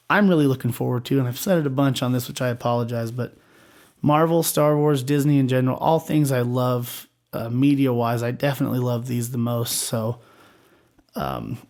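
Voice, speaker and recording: A man's voice: mid-range voice, a little mellow. Chatty man giving upbeat fan commentary. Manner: chatty, upbeat, many fillers. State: slightly hoarse. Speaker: video host. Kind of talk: casual enthusiastic fan commentary.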